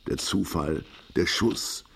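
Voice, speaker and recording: An actor in a literary radio drama playing a world-weary, fatalistic writer who speaks in a deliberate, formal, philosophical way with a gravelly, husky voice. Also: male voice, low voice, exaggerated voice. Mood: fatalistic, measured. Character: world-weary, fatalistic male writer, once left for dead, brooding and enigmatic.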